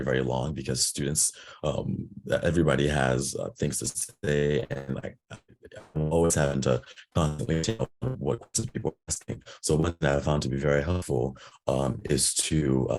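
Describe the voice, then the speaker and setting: Very deep, husky young man. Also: brisk, a little mellow. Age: 20s. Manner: earnest, many ums and restarts. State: hoarse, losing his voice. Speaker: board candidate. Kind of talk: candidate speech and answers.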